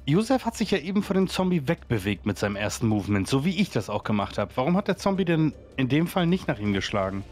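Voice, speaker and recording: Guy player at a tabletop game, chatty, talks quick, faint music under him. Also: mid-range voice, very animated, darkish tone. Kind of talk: casual game chat.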